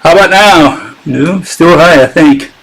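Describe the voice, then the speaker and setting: A man's voice: on the high side, unhurried, gravelly, fairly bright tone, breathy edge, rough recording. A man hosting a casual live-streamed talk, sarcastic and chatting with his viewers as he goes. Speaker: channel host giving a critique.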